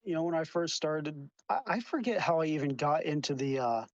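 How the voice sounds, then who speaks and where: A man's voice: a little high, expressive, fairly quick, dry recording, dull audio. A man in an online voice chat argument, stammering and restarting as he defends himself. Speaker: debate participant defending himself.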